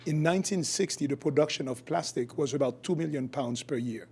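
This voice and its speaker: A man's voice: a little high, brisk, a little mellow, slightly rough. A middle-aged man from Haiti, a physician guest explaining his work and views in a podcast interview. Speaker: guest, functional medicine physician.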